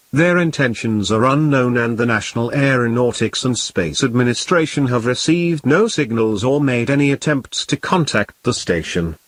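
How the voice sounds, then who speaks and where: A man playing a calm, grave emergency broadcast announcer, reading in a formal, measured and solemn way. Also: medium pitch, no room sound. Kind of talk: scripted emergency broadcast narration.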